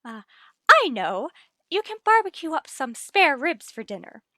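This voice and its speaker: A gushing, bossy middle-aged wife who loves matchmaking. She speaks high and with swooping, theatrical emphasis, like old radio comedy. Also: big pitch swings, measured pace, bright, forceful tone, no room sound, clean studio sound, exaggerated voice. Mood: eager, instructing. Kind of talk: 1940s-50s radio sitcom acting.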